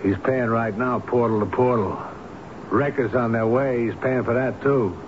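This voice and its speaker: A man's voice: medium pitch, steady tone, moderate pace, natural voice. A man playing a brusque, impatient repair tradesman in a radio drama, curt and pushy. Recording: muffled, slightly noisy old radio recording.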